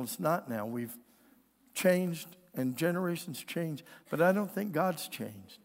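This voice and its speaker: A man's voice: fairly high pitch, creaky. A man preaching a conversational sermon as a pastor, folksy and earnest, his voice strained and weakened, often asking the congregation for an amen. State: strained, weakened voice with throat clearing. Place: indoors, preaching in a church. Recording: church hall with long reverb.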